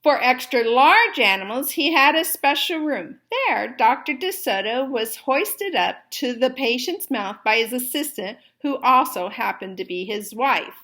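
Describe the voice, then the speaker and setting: A woman with a high voice reads aloud for children at a slow pace. She is deliberate and explanatory, like a teacher taking a class through a book. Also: animated, pushed and bright. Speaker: story reader and teacher.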